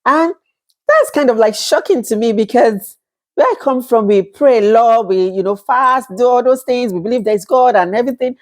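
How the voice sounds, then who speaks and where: A woman's voice: on the high side, animated, normal speed. A woman in her thirties from Nigeria with a Nigerian accent, talking casually to camera, chatty, laughing often and acting out little quoted exchanges. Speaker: vlogger.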